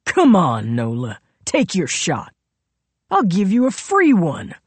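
A narrator voicing a gloating, cruel male villain in an audiobook thriller, with mocking, drawn-out taunts. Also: mid-range voice, warm tone, no echo. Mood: taunting.

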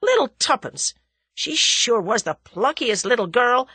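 A woman voicing an impulsive, blustering young American man who talks loudly in slang. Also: on the high side, measured pace, breathy edge, no echo, pitched above the performer's own voice, full character voice. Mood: agitated. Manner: loud, slangy, excitable.

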